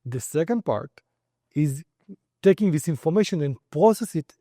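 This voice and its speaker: A middle-aged man with an Israeli accent explains his field as an interview guest. The voice is slightly high yet very mellow and rounded, with a dry, close sound. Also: animated, moderate pace, no echo. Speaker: company founder and credit expert interviewee.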